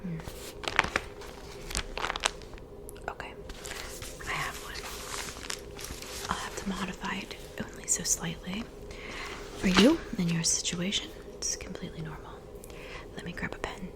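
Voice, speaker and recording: A woman playing a soft-spoken witch in an ASMR roleplay, whispering breathily and unhurriedly, as if to a client close by. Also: fairly low pitch, fairly even intonation, natural voice. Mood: soft, businesslike. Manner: unhurried, intimate, addressing the listener as a client. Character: soft-spoken witch mixing love potions in her cabin.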